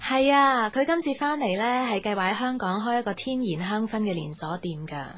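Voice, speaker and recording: A woman playing a gentle, affectionate young daughter in a radio drama, speaking conversational Hong Kong Cantonese. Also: a little high, expressive, a little fast. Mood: affectionate, chatty. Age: young adult. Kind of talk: radio drama monologue and dialogue.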